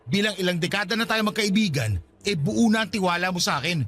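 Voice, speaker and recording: An actor playing an exasperated, indignant husband arguing with a jealous spouse in a radio drama. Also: male voice, high voice, expressive, quick pace, a bit bright. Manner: indignant, argumentative. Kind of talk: Tagalog radio drama acting.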